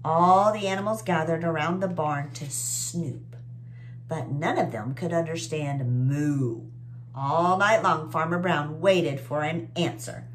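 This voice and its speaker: A woman's voice: low voice, measured pace, a little hiss or hum. A woman in her forties hosting children's story time, reading expressively and explaining in a teacherly, encouraging way. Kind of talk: expressive read-aloud with simple explanations. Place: small indoor room with bookshelves.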